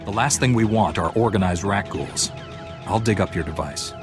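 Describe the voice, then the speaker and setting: An actor playing a steadfast heroic knight in a video game, with a calm, measured, resolute manner. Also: male voice, fairly low pitch, over music, natural voice. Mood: calm resolve. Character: steadfast heroic male Jedi-style knight. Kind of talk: video game cutscene acting.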